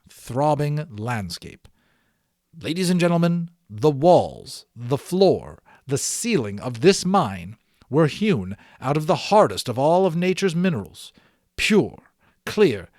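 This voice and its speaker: A man reading a story aloud as an audiobook, expressive and given to dramatic emphasis. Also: medium pitch, no echo, studio quality. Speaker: audiobook reader. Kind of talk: animated read-aloud storytelling.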